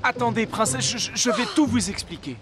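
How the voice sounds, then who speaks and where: A gallant young cartoon king with an earnest, youthful voice. He speaks in courtly, melodramatic phrases like a smitten storybook hero, with music clearly playing behind him. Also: very high voice, a little fast. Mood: flustered. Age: young adult. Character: lovestruck, gallant young king posing as merchant. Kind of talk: cartoon voice acting.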